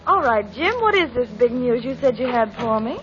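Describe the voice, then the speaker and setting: An actress playing a selfish, money-hungry wife, fearful and defiant, with a sharp, argumentative delivery in an old-time radio drama. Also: female voice, fairly high pitch, expressive, normal speed, lo-fi sound. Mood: demanding. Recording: band-limited vintage radio recording with music.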